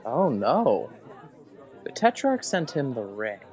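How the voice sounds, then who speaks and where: A woman's voice: rumbling low, sing-song, slow pace, a little mellow, a faint crowd, full character voice. A woman playing a blunt, impatient soldier in an audio drama, conversational and matter-of-fact. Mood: blunt, dry. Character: blunt, impatient soldier calmly recounting grim gossip.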